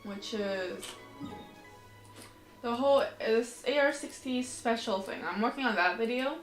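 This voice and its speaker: A woman's voice: on the high side, unhurried, roomy sound, rough recording. A woman livestreamer chatting casually while playing a game, rambling in a slangy, informal way. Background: faint game music.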